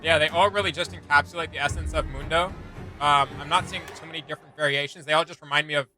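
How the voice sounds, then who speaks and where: Bright-voiced man reacting casually over music. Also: on the high side, steady tone, fast. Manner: informal slangy, thinking aloud. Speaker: reaction video host.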